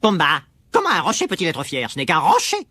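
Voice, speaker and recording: A man voicing a young, wisecracking meerkat in an animated film, theatrical and sarcastic, a dreamer given to exclamations. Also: fairly high pitch, fast talker. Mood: storytelling. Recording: loud music behind the voice.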